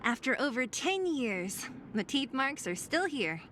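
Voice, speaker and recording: A woman's voice: sits high, big pitch swings, unhurried, fairly bright tone, slight background noise, exaggerated voice. An actress voicing a brash, tough young woman fighter in a game cutscene, blunt and teasing. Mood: nostalgic. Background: game score.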